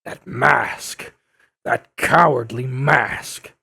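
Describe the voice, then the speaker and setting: A man playing a bitter, blustering old newspaperman turned blogger in an audio drama, with a gravelly, raspy voice and an accusatory, declaiming manner. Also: medium pitch, extremely slow, bright, forceful tone, full character voice. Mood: bitter, brooding. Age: older. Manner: accusatory, indignant, theatrical declaiming.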